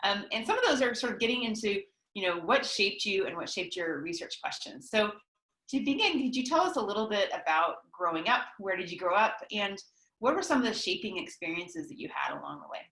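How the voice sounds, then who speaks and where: A woman's voice: mid-range voice, sing-song, brisk, pushed and bright. A woman moderating an online academic interview session, introducing a guest in a chatty way with frequent ums. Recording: echoey room.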